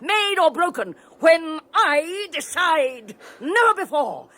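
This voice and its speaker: Henrietta, a sneering tyrant wife. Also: female voice, extremely high pitch, very animated, slow, bright, forceful tone, full character voice. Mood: scornful. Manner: theatrical, sneering, cackling laughter. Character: domineering wealthy wife, cruel, mocking, triumphant. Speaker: actress in radio drama. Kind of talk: 1980s radio drama acting.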